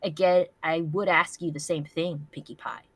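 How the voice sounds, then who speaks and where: A woman's voice: low voice, fairly even intonation, normal speed, husky, no room sound. A woman voicing a brooding, self-pitying jealous male pony in a melodramatic, wounded reading of fan fiction.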